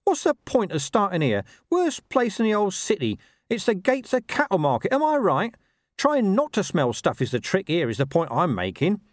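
A male narrator acts out a small talking dog from a storybook, pitched well up high. He is cynical and streetwise, talking in a sardonic, colloquial patter with very lively swoops, recorded close and dry. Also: moderate pace, no echo. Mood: sardonic, nosy.

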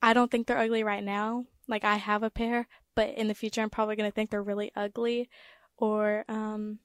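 A teenage high school girl, a guest in a casual interview, chatting with frequent fillers. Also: little pitch movement, a little fast, clear, resonant tone, no echo, clean studio sound. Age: teens.

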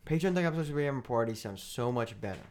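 A man hosting a podcast in casual chat, playfully argumentative and animated, talking into a studio mic. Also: medium pitch, quick pace. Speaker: podcast host.

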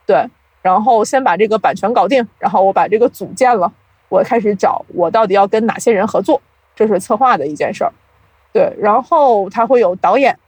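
A woman's voice: medium pitch, darkish tone, dry recording, low-quality recording. A woman guest on a podcast explaining things casually, like a storyteller, with self-deprecating asides. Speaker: podcast guest, audio drama producer.

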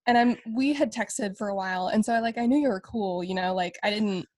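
A woman's voice: mid-range voice, a little fast, darkish tone, no echo. A young woman in her twenties co-hosting a podcast, chatting casually with fillers and self-deprecating asides. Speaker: podcast co-host. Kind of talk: casual chat.

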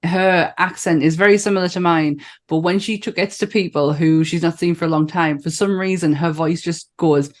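A woman's voice: lowish voice, fairly even intonation, moderate pace, few pauses. A woman from northern England with a northern accent, co-hosting a podcast in enthusiastic, casual chat, swearing and laughing often. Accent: northern English. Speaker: podcast co-host.

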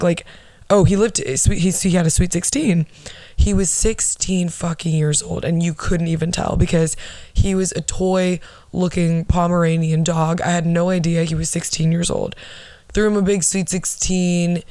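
A young woman with a low alto voice, telling rambling, profane, self-mocking stories as a podcast host. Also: dark tone, no room sound. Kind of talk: casual storytelling monologue.

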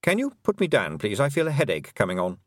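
A man's voice: medium pitch, huge pitch swings, fast talker, no room sound, studio quality, pitched above the performer's own voice. A man voicing a fussy, complaining talking toad with a prim manner. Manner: prim, grumbling.

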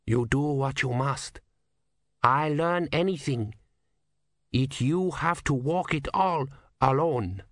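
A man voicing a calm, wry old male sweeper monk who speaks clipped broken English. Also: mid-range voice, takes it slowly, pauses often, dry recording, clean studio sound, natural voice. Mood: wise. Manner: clipped broken English, drops small words.

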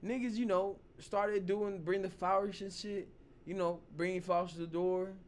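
A man hosting his own vlog, hoarse from losing his voice, rambling loosely in casual talk full of "you know" and "like". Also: sits high, steady tone, moderate pace. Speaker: vlog host. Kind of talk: casual opinion talk to camera.

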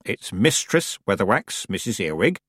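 A man voicing a stern, commanding old female country witch who speaks calmly and deliberately in a storybook reading. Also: medium pitch, slightly rough, no echo, studio quality. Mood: coldly calm. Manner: calm, deliberate, rustic grammar.

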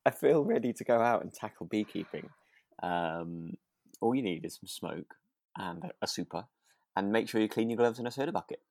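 A British man co-hosting a relaxed, casual chat, speaking hesitantly and in a gently encouraging way. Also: on the low side, normal speed, gravelly. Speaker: podcast co-host.

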